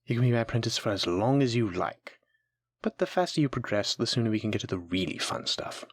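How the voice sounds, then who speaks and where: A voice actor playing a kind, patient alchemy teacher in a fantasy audio roleplay, gently encouraging and instructing a new apprentice. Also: medium pitch, animated, quick pace, dry recording, close to an everyday voice. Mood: encouraging, teaching. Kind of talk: soft-spoken scripted fantasy audio roleplay.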